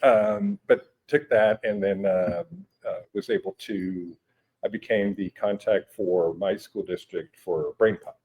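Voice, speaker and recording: A man in his forties whose first language is Spanish, explaining things in a relaxed interview with many hesitations and restarts. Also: fairly low pitch, slow pace, rough recording. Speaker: guest, education technology company director. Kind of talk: conversational interview. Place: conference venue.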